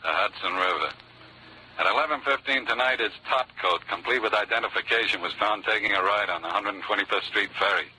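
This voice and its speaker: Sharp-voiced, dry 1940s police lieutenant. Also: male voice, fairly low pitch, fairly quick, low-quality recording. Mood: dry, needling. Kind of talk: 1940s radio drama acting. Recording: old radio recording, faint noise.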